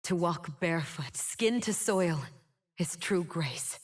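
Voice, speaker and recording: A woman's voice: lowish voice, animated. An actress voicing a proud, wounded, immortal winged woman in a video game, speaking with solemn, dramatic defiance. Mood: brooding, solemn. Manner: slow, solemn, dramatic delivery. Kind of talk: scripted game character voice lines.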